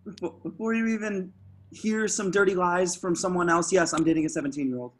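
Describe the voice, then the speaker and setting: A man's voice: high voice, fairly even intonation, fairly quick. A man playing an awkward, defensive slacker in his twenties at a table read, hesitant and rambling. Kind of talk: table-read acting over video call. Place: remote group video call.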